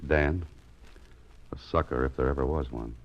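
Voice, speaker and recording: A very deep-voiced private investigator in a 1940s radio drama. He is wry and self-mocking but soft-hearted. The old recording is dull, with clear music behind him. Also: moderate pace. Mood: wry, musing.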